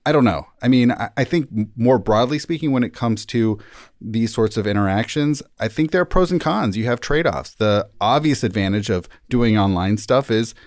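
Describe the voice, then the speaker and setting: A man's voice: mid-range voice, normal speed, darkish tone, dry recording. Man reasoning aloud, dry close sound. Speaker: rabbi, guest. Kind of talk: conversational interview answers.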